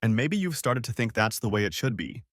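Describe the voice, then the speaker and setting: A man's voice: mid-range voice, lively intonation, brisk, no echo, studio quality, natural voice. A man reading a story aloud, voicing a stern middle-aged mother who scolds her grown daughter bluntly. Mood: scolding.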